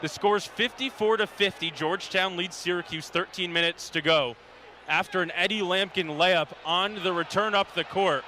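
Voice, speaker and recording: A man calling live basketball play-by-play on radio with an energetic, excitable delivery. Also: sits high, moderate pace. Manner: energetic, rising excitement on big plays. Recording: loud arena noise behind the voice. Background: basketball arena with bouncing ball.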